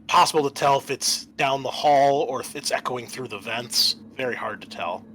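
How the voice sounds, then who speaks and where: Bright-voiced man running a tabletop game. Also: mid-range voice, normal speed, slight background noise, rough recording. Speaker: game master. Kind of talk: narrating a tabletop roleplaying game.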